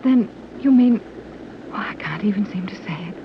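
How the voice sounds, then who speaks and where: A middle-aged mother played by an actress speaks with refined, affectionate wonder and big swoops of pitch. It is old radio serial acting, on a noisy, dull recording. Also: expressive, normal speed, natural voice. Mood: amazed.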